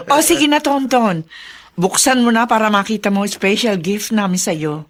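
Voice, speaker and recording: A woman's voice: medium pitch, unhurried, close to an everyday voice. A female actor playing a gracious, grateful visitor in a radio drama, polite and earnest. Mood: cheerful. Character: gracious, grateful adult gift-bearing visitor praising goodness.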